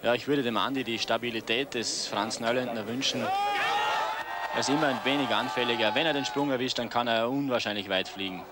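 A man's voice: mid-range voice, a little fast. A man with an Austrian accent giving colloquial expert analysis during live ski flying commentary. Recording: noisy outdoor sports broadcast.

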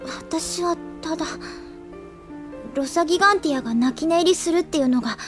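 Teenage schoolgirl, very high voice, cute, headstrong, forthright, anime drama, faint music. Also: fairly quick. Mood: indignant. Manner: forthright, insistent. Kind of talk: Japanese anime audio drama acting.

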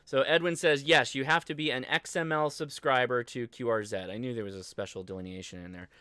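An American man in his thirties talking through a casual livestream tutorial, conversational and halting as he thinks aloud. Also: medium pitch, steady tone, sharp tone, clean tone, no room sound, clean studio sound. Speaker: livestream host and ham radio instructor. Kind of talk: casual live tutorial with chat replies. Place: indoor home studio desk, livestream.

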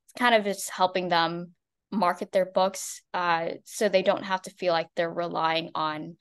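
A woman from the United States co-presenting a webinar over a video call, earnest and a little halting. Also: lowish voice, steady tone, clear, resonant tone. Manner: earnest, with some restarts. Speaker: social media marketer, co-presenter.

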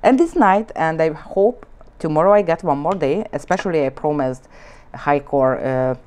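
A woman's voice: low voice, lively intonation, moderate pace, darkish tone. A woman from Hungary speaking English with a Hungarian accent, teaching nail art in a chatty, rambling way full of fillers and broken grammar. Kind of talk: casual demonstration talk. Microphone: off-camera voice over hands-only shot.

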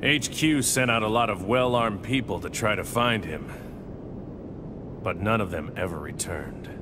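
An actor playing an earnest, battle-weary squad leader in video game cutscenes, urgent and commanding. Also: male voice, lowish voice, a little slow, background noise, natural voice. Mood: grave, determined.

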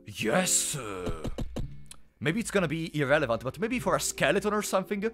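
A man's voice: a little high, big pitch swings, moderate pace, music in the background. A young man with a sore throat, chatting playfully with his live chat while streaming a game. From Italy. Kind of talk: casual live-stream commentary.

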